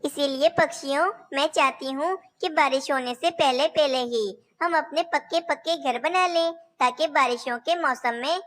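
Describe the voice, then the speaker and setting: A woman's voice: high-pitched, normal speed, few pauses, fairly bright tone. A woman voicing young female cartoon birds, caring and a little bossy, in a childlike, declamatory way. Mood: earnest. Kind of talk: Hindi animated children's story dialogue.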